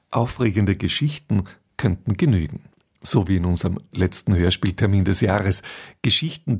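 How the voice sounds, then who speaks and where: A man hosts a radio drama slot, reading a prepared introduction. His voice sits fairly low and has a husky, slightly rough grain. The delivery is that of scripted cultural radio. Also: darkish tone.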